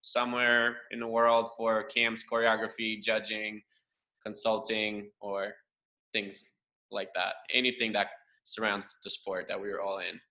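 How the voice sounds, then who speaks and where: A man's voice: lowish voice, little pitch movement, measured pace, sharp tone, rough recording. A young man from Romania, a native Romanian speaker, telling his story as a guest in a casual interview. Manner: earnest, thankful, long winding answers. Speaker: guest, cheer assistant coach. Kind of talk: casual interview over a livestream.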